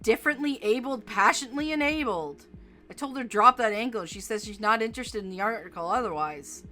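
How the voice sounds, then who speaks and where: A woman's voice: on the high side, a little fast, sharp tone, faint music behind. A woman voicing a short-tempered young woman with a tail, bitter and swearing in a storybook reading. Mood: furious.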